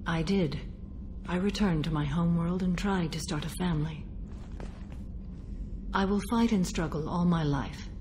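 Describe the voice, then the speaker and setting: Woman as a low-voiced, solemn alien warrior. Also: a little mellow, a little hiss or hum, lightly acted. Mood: resolute, calm. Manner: measured, formal, grave. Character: solemn, dutiful alien warrior mother, stoic and composed. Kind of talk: video game dialogue acting.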